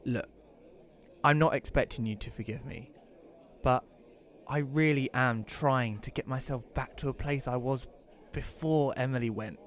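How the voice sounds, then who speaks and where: A man's voice: mid-range voice, unhurried, close to an everyday voice. A man playing an awkward, apologetic widower telling his story in an audio drama, with a hesitant, self-conscious manner. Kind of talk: first-person audio drama narration and dialogue.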